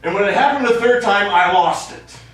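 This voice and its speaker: A man on stage playing a quiet, guarded astronaut who explains himself earnestly and a little defensively to his crew. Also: sits high, fairly quick. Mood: earnest, explanatory. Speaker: stage actor in a short play. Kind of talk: live stage play dialogue. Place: small theater studio, recorded from audience distance. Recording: echoey hall, distant mic, faint noise.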